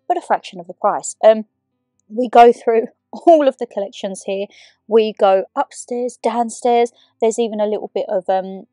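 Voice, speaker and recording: A young woman with a slightly croaky voice, chatting in a rambling, giggly way as a shopping vlogger over a voiceover. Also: mid-range voice, warm tone, no room sound. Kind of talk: chatty vlog talk and voiceover.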